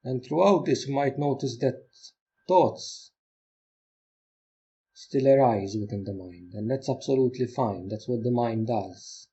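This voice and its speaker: Male meditation guide, mellow and husky, gentle instructional delivery with pauses, lo-fi muffled sound. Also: mid-range voice. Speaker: meditation teacher.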